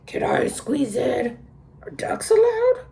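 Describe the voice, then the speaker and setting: Fussy picture-book duck, gruff then squeaky, read by a woman. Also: big pitch swings, takes it slowly, dark tone, a little hiss or hum, exaggerated voice. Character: fussy duck squeezing into a crowd.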